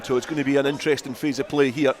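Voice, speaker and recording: Man, rugby play-by-play commentator, live radio style, mid-range pitch, outdoors at the ground. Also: level tone, rapid-fire, crowd noise.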